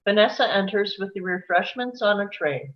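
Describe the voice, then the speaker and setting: Woman, medium pitch, scripted screenplay narration, stage-direction reader, slightly dull lo-fi microphone. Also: moderate pace.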